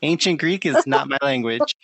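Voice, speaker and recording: A podcast co-host reading out research notes in casual chat, unhurried, with filler ums. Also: mid-range voice, huge pitch swings, background noise.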